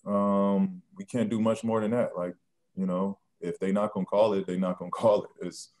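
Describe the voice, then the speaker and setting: A man in his thirties answering postgame questions at a press conference, soft-spoken and halting with many ums and restarts, on a video call. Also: fairly low pitch, little pitch movement. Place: press room with sponsor backdrop.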